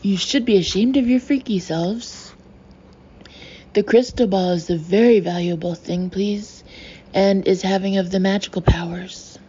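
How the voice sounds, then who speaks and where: A woman's voice: medium pitch, a little slow, dark tone, husky. A woman voicing a bossy fake fortune teller with an odd put-on accent who snarls orders and says please constantly. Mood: furious. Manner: snarls orders, tacks "please" onto everything. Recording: dull lo-fi with faint hiss.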